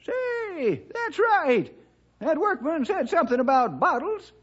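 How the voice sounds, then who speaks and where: An actor playing an excitable, homespun old cowboy sidekick with a folksy American Western drawl, in 1960s radio drama style. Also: male voice, extremely high pitch, measured pace, exaggerated voice. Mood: sudden realization. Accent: American West. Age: older. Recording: dull vintage radio recording.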